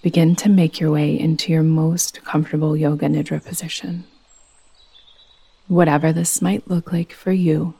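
A woman leading a guided meditation as a yoga nidra teacher, speaking in a soothing, gentle, unhurried manner. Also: sits low, little pitch movement, vocal fry, breathy edge.

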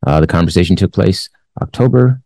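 Deep gravelly man, host in his 40s. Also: animated, warm tone, no echo. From Los Angeles area. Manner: measured, thoughtful, with many pauses and fillers. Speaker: show host. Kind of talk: commentary, reading news aloud then giving opinion.

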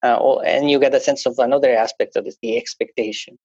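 Hesitant gravelly man, non-native English. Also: mid-range voice, fairly even intonation, fast talker, a little mellow, no echo. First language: not English. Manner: hesitant, many restarts and fillers. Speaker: guest. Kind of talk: conversational interview talk. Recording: dull, dry lo-fi sound.

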